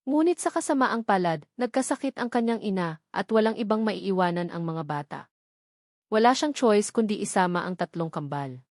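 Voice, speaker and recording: A woman's voice: mid-range voice, lively intonation, normal speed, darkish tone, no room sound. Filipino woman narrating a story, dry sound. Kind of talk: scripted romance story narration.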